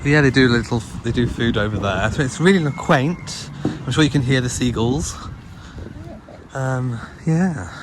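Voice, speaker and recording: A man's voice: medium pitch, measured pace. A man hosting a casual vlog, chatting to viewers and narrating what is around him. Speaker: vlog host. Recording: noisy handheld vlog with crowd and street sounds.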